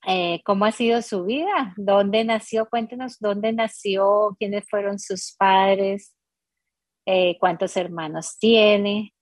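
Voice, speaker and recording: A woman hosting a radio interview, speaking courteously and slowly, often repeating words as she asks questions. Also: medium pitch, no echo. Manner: slow, courteous, repeating herself. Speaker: radio host.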